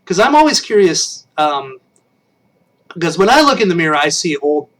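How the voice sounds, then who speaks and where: A man in his late thirties chats with his viewers from home, his voice sitting a little higher than most men's. He rambles and restarts his sentences, poking fun at himself as he goes. Now and then he drifts away from the webcam mic, so his voice sounds distant. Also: very animated, normal speed, a bit bright. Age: 30s. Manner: rambling and self-deprecating, many restarts. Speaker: live stream host. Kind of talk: casual chat with viewers.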